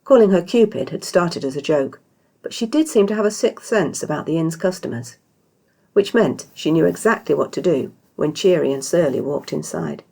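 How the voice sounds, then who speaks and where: A low-voiced British woman reads a story as its author. Her delivery is scripted narration, with a gravelly creak running through the deep register. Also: expressive, normal speed, warm tone. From Britain. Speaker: author reading own story.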